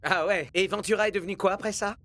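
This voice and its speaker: A man's voice: high voice, steady tone, quick pace. A man playing a wordy, earnest, good-natured young footballer and proud ex-scout in a French cartoon dub. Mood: skeptical, teasing. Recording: music behind the voice.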